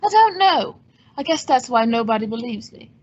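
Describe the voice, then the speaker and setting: A woman playing a cool, wry gentleman sleuth in old-time radio style, with an understated, dry wit. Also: on the high side, big pitch swings, normal speed, husky. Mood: curious. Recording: dull lo-fi audio.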